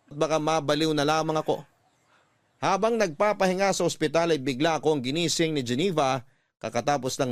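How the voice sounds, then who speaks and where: A man's voice: a little high, no echo. A Filipino man narrating a first-person letter in a dramatic, sorrowful radio-drama style. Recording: dry studio voice over music.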